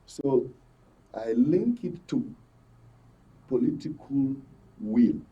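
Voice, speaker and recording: A man's voice: medium pitch, lively intonation, extremely slow. A man with a Nigerian accent giving political analysis as a guest in a discussion. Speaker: panel guest, political commentator. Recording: muffled, slightly distant microphone.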